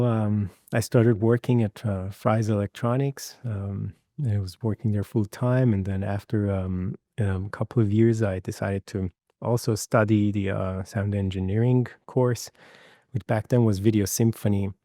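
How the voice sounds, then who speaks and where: Interview guest, forties, from Iran; dark, relaxed voice, halting delivery with frequent fillers. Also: male voice, on the low side, no echo, studio quality. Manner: hesitant, many fillers and restarts, warm laughs. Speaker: guest, Foley mixer. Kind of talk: casual interview conversation.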